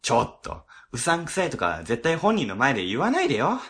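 A man's voice: mid-range voice, wildly swooping pitch, fairly quick, a bit bright. A man playing a middle-aged civil servant boss in a comic radio drama, chatty, easily flustered and ingratiating. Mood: casual, grumbling.

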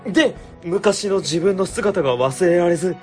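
A man playing an earnest, polite young junior office worker who explains things excitedly in a comic radio drama. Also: sits high, measured pace, soft background music. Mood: excited theorizing. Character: earnest, eager, polite young male junior civil servant.

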